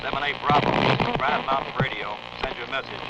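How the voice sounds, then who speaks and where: A man making crisp, procedural calls as a radio station operator on a two-way radio. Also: medium pitch, quick pace. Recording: dull vintage radio, faint noise.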